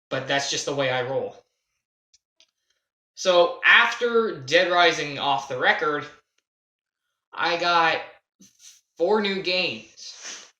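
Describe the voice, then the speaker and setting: A young man telling a casual, unscripted gaming story in a halting, self-deprecating way, stressing key words as he goes. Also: on the high side, pushed and bright. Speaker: video creator telling a personal gaming story. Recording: roomy, echoey home recording.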